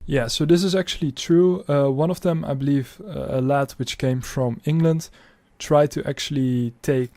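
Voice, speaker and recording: A man's voice: fairly high pitch, normal speed, hardly any pauses, warm tone, no echo. A young Dutch man with a Dutch accent, chatting casually as he reacts to a history video and explains names, with fillers and restarts. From Netherlands.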